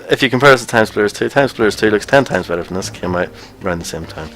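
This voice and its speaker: A man's voice: lowish voice, lively intonation, quick pace, fairly bright tone. A man chatting casually as he co-hosts a video game stream, with a wry, bantering manner. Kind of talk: casual chat while playing a video game. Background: video game music.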